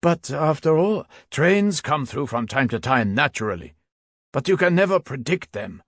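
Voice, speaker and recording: A man's voice: fairly high pitch, sing-song, dry recording, clean studio sound, pitched above the performer's own voice. Man voicing a lazy, mocking old soldier. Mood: offhand. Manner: casual, mocking, unhurried. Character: weary fifty-year-old soldier, lazily amused.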